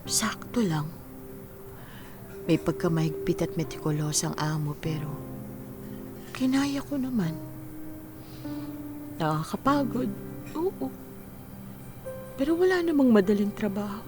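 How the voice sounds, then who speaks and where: Woman playing weary middle-aged mother, widowed, earnest and wistful, unhurried pace, radio drama, clear background music. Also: mid-range voice, very animated. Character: widowed mother in her forties, weary former overseas worker, devoted. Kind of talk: Filipino radio drama acting.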